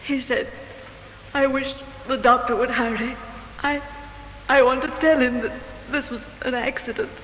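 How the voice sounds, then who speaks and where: A woman's voice: sits high, steady tone, moderate pace, a bit bright. An actress playing an emotional, pleading young woman on trial for shooting her lover in a 1950s radio drama. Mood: grieving, shaken. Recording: muffled, noisy, reverberant old radio recording.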